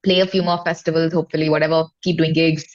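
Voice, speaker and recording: Lower-pitched Indian woman chatting casually. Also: flat delivery, fast, darkish tone, muffled recording. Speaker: singer-songwriter guest. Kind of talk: casual interview chat.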